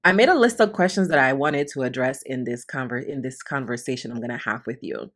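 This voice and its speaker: Low voiced new woman, raised in the bronx, doing a heartfelt solo podcast, repeats stuff for emphasis. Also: fast. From Honduras, raised in the Bronx, New York. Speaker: podcast host and mentor. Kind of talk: reflective solo podcast monologue.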